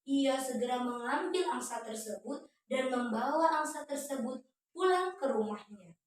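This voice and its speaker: Young woman, high voice, expressive children's storyteller talking directly to listeners, heavily echoing room. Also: moderate pace. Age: young adult. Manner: expressive, often addresses the audience as friends. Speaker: student storyteller. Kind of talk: children's storytelling addressed to viewers. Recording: echoey room, distant microphone.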